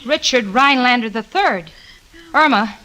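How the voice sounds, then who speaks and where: An actress playing a level-headed, sardonic young American roommate in a 1940s radio sitcom. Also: female voice, fairly high pitch, animated, bright, forceful tone. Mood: exasperated. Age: young adult. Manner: dry, sardonic, quick-witted. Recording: very noisy, clicky 1940s radio recording.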